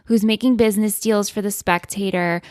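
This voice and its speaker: A woman co-hosting a podcast, chatting casually about a TV show and tossing in quick questions and asides. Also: mid-range voice, fairly even intonation, darkish tone, no room sound, clean studio sound. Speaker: podcast co-host. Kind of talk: casual chat recapping a TV show.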